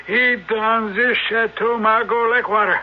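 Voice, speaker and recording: A man's voice: high voice, fairly even intonation, unhurried. An actor playing a rich, retired old man, folksy and chatty, with a crotchety streak, in an old-time radio drama. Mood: jovial. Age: older. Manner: folksy, garrulous, hesitating with ohs and uhs. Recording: dull, band-limited old radio recording.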